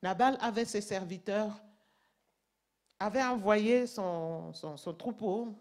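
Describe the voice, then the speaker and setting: A woman preaching a sermon in French, which is not her first language, reading scripture and explaining it emphatically, sometimes rising in volume. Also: mid-range voice. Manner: slow, emphatic, rising to loud passages.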